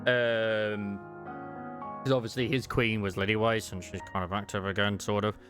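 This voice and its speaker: A man commentating live on a game and speculating about its lore, haltingly thinking aloud. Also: lowish voice, little pitch movement, a bit bright, soft background music. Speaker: game streamer.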